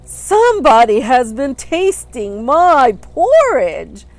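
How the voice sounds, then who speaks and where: Woman putting on a loud, booming papa bear. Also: big pitch swings, slow pace, full character voice. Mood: loud indignation. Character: great big grumpy papa bear. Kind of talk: children's storybook read-aloud.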